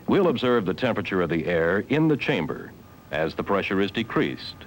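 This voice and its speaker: A man narrating a scripted educational film in a measured, formal, instructional manner on a 1970s soundtrack. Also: low voice, sing-song, slight background noise. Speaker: documentary narrator.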